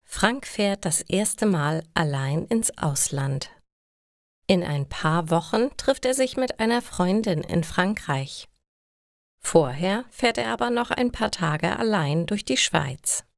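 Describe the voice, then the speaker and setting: A woman reading simple scripted stories for language learners in a measured, clearly enunciated way. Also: lowish voice, lively intonation, no room sound, clean studio sound. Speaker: language-learning story narrator.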